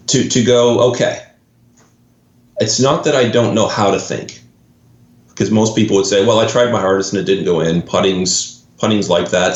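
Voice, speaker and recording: A man from Canada with a Canadian accent, a guest in an interview, who explains ideas thoughtfully with examples and often restarts his phrases. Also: deep voice. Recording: roomy, dull lo-fi audio.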